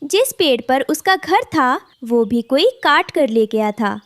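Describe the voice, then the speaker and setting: A woman's voice: high voice, lively intonation, measured pace, fairly bright tone, no room sound, clean studio sound. A woman narrating a scripted children's cartoon story.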